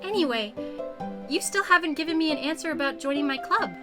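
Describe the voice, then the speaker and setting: Casting: female player as very high-voiced, polite, responsible schoolgirl, gently teasing, visual novel, music underneath. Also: lively intonation, brisk. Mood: friendly, coaxing. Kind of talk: visual novel read-aloud.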